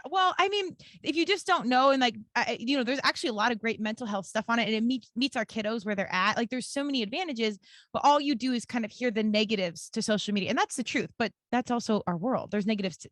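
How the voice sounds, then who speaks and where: A woman's voice: a little high, fast, a bit bright, no room sound, studio quality. A woman in her early forties hosting a podcast, chatting earnestly and filling her talk with "like". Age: 40s. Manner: chatty, many "like" fillers, earnest. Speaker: podcast host. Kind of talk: casual podcast conversation.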